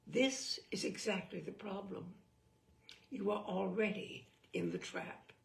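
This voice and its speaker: A woman reading a humorous book aloud as a storyteller, in a deliberate, theatrical and wry manner. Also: mid-range voice, big pitch swings, vocal fry. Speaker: reader of humorous book selections. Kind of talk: dramatic storytelling read-aloud. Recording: distant microphone.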